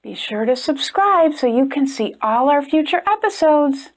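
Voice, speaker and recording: A woman hosting a storytime channel, reading a children's picture book aloud in a gentle, expressive way. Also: high voice, moderate pace. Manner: gentle, expressive reading, brighter and chattier when addressing viewers. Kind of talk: read-aloud storytelling for kids.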